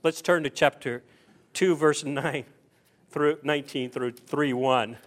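A man's voice: on the high side, steady tone, slow. A male preacher from the American South speaks. He delivers his sermon conversationally, posing rhetorical questions and circling back over key phrases to drive them in. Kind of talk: sermon with scripture reading.

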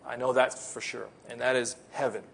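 A man from the Philippines who grew up in America, with an American accent, preaching an earnest sermon as a pastor, slowing down to stress key phrases. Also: medium pitch, little pitch movement, gravelly, fairly bright tone, breathy edge.

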